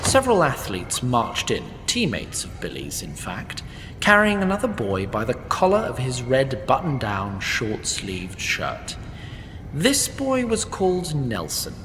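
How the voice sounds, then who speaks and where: A man's voice: medium pitch, huge pitch swings, a little slow, long reverb tail, background noise. A man narrating a comic fantasy audio drama in a wry, formal storytelling manner. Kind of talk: scripted narration.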